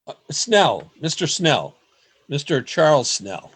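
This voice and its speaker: A man plays an earnest poet in a radio play. His husky voice is fairly high for a man and swings widely in pitch, at an unhurried pace with a theatrical touch. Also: very animated, fairly bright tone, exaggerated voice. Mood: polite, uncertain. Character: earnest, wide-eyed male poet newcomer, narrating. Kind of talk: radio play acting and narration. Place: remote home recording over video call. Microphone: video call.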